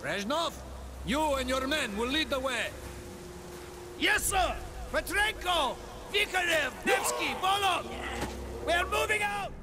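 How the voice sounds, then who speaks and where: Russian army officer, strikingly high voice, forceful, clipped, distrustful, game cutscene, loud outdoor noise. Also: male voice, animated, slow, exaggerated voice. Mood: commanding. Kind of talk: dramatic game cutscene acting. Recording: loud rain and vehicle noise.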